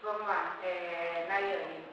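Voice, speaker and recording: Distant echoey voice calling on speakers. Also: female voice, fairly low pitch, fairly even intonation, drawn-out words, a bit bright, dull audio. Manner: short prompts to call on speakers. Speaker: moderator picking questions from the audience. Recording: distant, echoey, noisy room.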